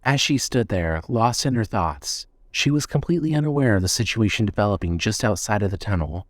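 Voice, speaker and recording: A man narrating a scripted suspense story in a measured, storytelling manner. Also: lowish voice, animated.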